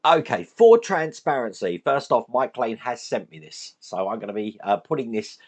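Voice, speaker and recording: A man hosting a model-building channel, his voice hoarse and deeper than usual from shouting, chatting casually and thinking aloud as he works with his hands. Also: very animated, normal speed. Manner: chatty, self-deprecating, thinks aloud while working.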